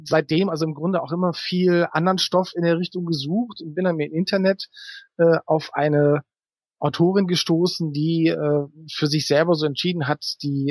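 Middle-aged man, slightly high voice, dry recording. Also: no room sound. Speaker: author, interview guest. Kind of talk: conversational interview, anecdotal storytelling.

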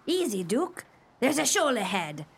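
Aging pirate barmaid: coquettish, raspy, highish voice, broad dialect, comic radio play. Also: female voice, big pitch swings, unhurried, exaggerated voice. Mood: wheedling.

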